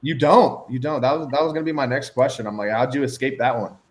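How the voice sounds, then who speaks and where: A young man chatting casually on a speed date, relaxed and agreeable, often repeating himself. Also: mid-range voice, fast. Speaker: dating show lead.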